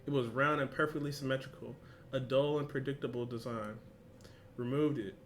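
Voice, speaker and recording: A man's voice: medium pitch, fairly even intonation, fairly quick, slight background noise. A young man hosting a reaction video, reading a story aloud, stumbling over words and laughing at himself.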